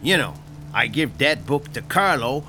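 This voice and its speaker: Man as gangland boss, chicago accent, slow sardonic drawl, lively swooping pitch, music underneath. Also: exaggerated voice. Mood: menacing. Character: menacing 1930s Chicago mob boss, middle-aged. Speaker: mob boss. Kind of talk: audio drama acting.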